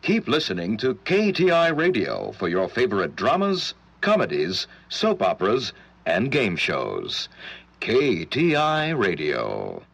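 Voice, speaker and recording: A man's voice: lowish voice, huge pitch swings, measured pace, creaky, fairly bright tone, breathy edge, heavily acted. A man reading 1940s radio station promos in emphatic, punchy phrases.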